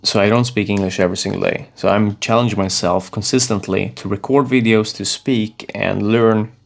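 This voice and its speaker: A Swedish man in his thirties speaking English as a second language, coaching viewers to camera in an earnest, halting way with frequent restarts. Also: lowish voice, steady tone, vocal fry. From Sweden. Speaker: self-improvement vlogger.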